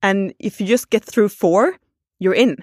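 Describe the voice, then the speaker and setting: A woman's voice: medium pitch, measured pace, a little mellow, no room sound, clean studio sound. A woman, chatting casually on a podcast and thinking aloud with fillers.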